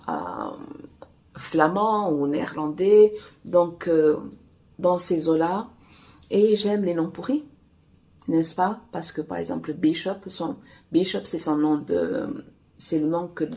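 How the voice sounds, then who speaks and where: A woman romance author whose first language is Portuguese, chatting casually to camera in a halting way with many fillers and restarts. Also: lowish voice, mellow. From Angola. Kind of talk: casual vlog chat.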